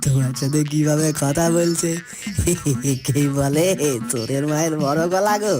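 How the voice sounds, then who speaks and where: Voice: mid-range voice, unhurried, close to an everyday voice. An actor playing a sly, taunting grown child of a household in a cartoon, mocking and drawling. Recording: voice over clear music.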